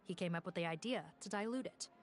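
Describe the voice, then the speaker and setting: A woman playing a dutiful, respectful police officer in a video game, with measured, explanatory delivery. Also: fairly low pitch, lightly acted. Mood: businesslike.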